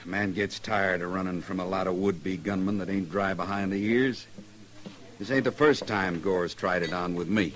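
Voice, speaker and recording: A man's voice: deep voice, fairly even intonation, normal speed, lightly acted. An American man playing a weary but proud middle-aged former lawman and gunfighter in a radio western. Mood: weary, stubborn. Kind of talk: old-time radio western acting.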